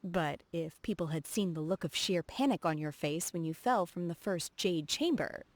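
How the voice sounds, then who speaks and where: A woman voicing a reserved, earnest, socially awkward young female martial disciple in a video game, speaking in a formal, measured way. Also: fairly low pitch, no echo, natural voice. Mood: gently amused. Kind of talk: video game character voice acting. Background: background music.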